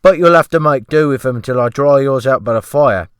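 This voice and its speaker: Oily galley cook, voiced by a male reader: servile, chirpy, pitched up and loud, dry close recording. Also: brisk, clean tone, no room sound, natural voice. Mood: smirking, fawning. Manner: ingratiating, smirking. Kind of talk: dramatic audiobook character voice.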